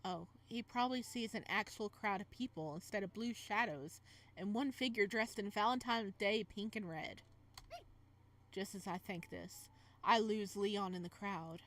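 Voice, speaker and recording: A woman's voice: medium pitch, measured pace, fairly bright tone. A woman reading as the flustered young male protagonist of a romance visual novel, in dramatic first-person narration. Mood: tense.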